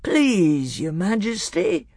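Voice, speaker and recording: A big old storybook bear, acted out by a narrator, speaks in a thick, sleepy voice. He drags his words out slowly and pleads with earnest, humble politeness. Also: medium pitch, very animated, a little mellow, dry recording, clean studio sound, pitched above the performer's own voice. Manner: slow, drawn-out, pleading politeness.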